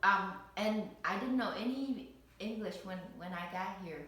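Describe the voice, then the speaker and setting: A woman's voice: medium pitch, unhurried, a bit bright, slight background noise. Hesitant woman in an echoey room. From Vietnam. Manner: hesitant, many fillers and restarts. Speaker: interviewee, parent. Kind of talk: casual recorded interview. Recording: echoey room, distant mic.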